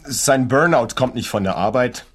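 An actor playing a dutiful client in a radio play, talking in a measured, matter-of-fact way. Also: male voice, medium pitch, husky, close to an everyday voice. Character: male client, dutiful protector of a womanising man.

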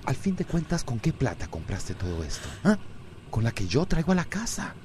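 An actor with a Costa Rican accent playing a domineering, irritable husband in a radio soap opera, gruff and dismissive. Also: male voice, mid-range voice, sing-song, moderate pace, background noise, close to an everyday voice. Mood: defensive, impatient. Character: domineering, irritable husband who belittles his wife.

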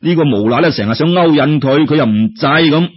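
A man's voice: on the high side, brisk, slightly rough, muffled recording. A man with a Cantonese accent narrating a traditional story in a colloquial way, speaking straight to his listeners. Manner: colloquial, addresses listeners directly.

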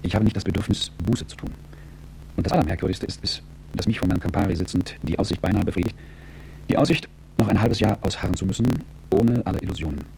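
Doomed remorseless man, creaky voice, sober reflection, radio drama, faint hum behind. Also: deep voice, fast, a little mellow, poor audio. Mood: bleak, resigned. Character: fatalistic, remorseless dying man, coolly weighing his guilt. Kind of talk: radio drama interior monologue.

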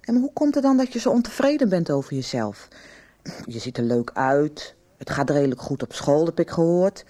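An actress playing a concerned, insistent doctor questioning a young patient in a radio soap. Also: female voice, deep voice, sing-song, dark tone. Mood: probing, gentle. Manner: direct, insistent, deliberate questioning. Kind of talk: Dutch radio soap acting.

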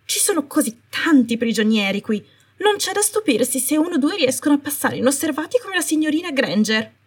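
A woman's voice: high voice, very animated, fairly quick, pitched above the performer's own voice. A woman voicing a suave but flustered female prison official, servile, defensive and pleading, with a sugary, stammering manner. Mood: sugary, agitated. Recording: roomy, distant microphone.

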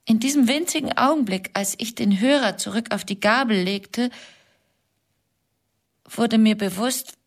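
An actress plays a grieving widow in an intimate radio drama monologue. She talks slowly at a medium pitch, pausing between short phrases. Her manner is quiet, confessional and full of self-reproach. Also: close to an everyday voice.

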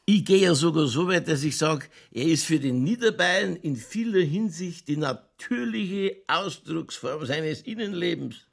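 A world-weary police chief from Lower Bavaria, a man with a thick regional accent and a gravelly, husky voice. His pitch moves animatedly as he rambles on in tipsy, colloquial, cynically jovial radio-play dialogue. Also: lively intonation, unhurried, few pauses, exaggerated voice. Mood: tipsy, opinionated. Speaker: actor in a crime radio play. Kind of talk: naturalistic German radio drama dialogue.

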